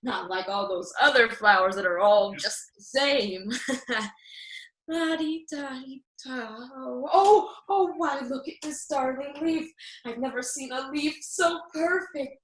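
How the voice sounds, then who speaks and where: A woman's voice: sits high, slow, a bit bright, roomy sound, low-quality recording. A woman playing a headstrong, naive young fairy-tale girl with exaggerated modern sass in a comic radio play. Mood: gushing sweetness.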